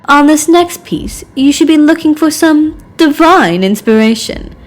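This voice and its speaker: A woman's voice: high-pitched, animated, measured pace, pitched above the performer's own voice. A woman playing a cruel, mocking young aristocratic lady, prim, taunting and theatrical, as a game character. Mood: playful, taunting. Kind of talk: game character voice acting reel.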